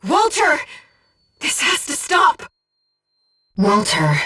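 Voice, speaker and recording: A woman voicing a disembodied female guide who speaks in a pilot's head as mission operator in a video game, with a soft, breathy voice and a precise, earnest delivery. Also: sits high, wildly swooping pitch, slow pace, far from the mic, heavily acted. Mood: desperate, defiant, then soft, sorrowful. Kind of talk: scripted video game voice lines, mission briefings and battle callouts.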